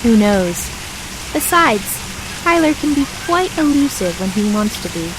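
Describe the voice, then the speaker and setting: A woman's voice: a little high, big pitch swings, a little slow. A woman acting a smug, casually teasing young local guide in an audio drama, breezy and knowing. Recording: constant rain-like hiss behind voice.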